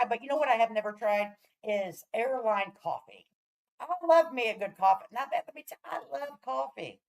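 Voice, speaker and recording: A woman's voice: a little high, poor audio. A woman hosting a casual livestream, chatting to viewers in a brash, profane way. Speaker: livestream host.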